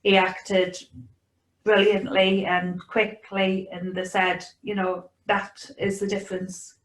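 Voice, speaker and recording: A woman's voice: mid-range voice, little pitch movement, takes it slowly. A woman, a mother being interviewed, talking in a casual, understated way in an echoey room. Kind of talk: casual conversational recollection.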